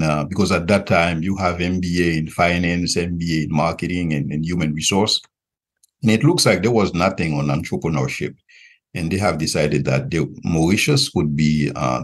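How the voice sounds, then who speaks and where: A man in his forties from Haiti, with a Haitian accent, answering interview questions in measured short phrases with frequent pauses. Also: deep voice, husky. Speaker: podcast guest, regional director and visiting professor.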